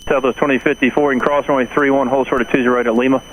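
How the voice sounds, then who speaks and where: A man's voice: medium pitch, steady tone, racing. A man working as an airport tower controller, giving clipped instructions over an aviation radio. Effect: aviation radio transmission. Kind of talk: rapid clipped radio air traffic instructions. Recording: noisy air traffic radio audio.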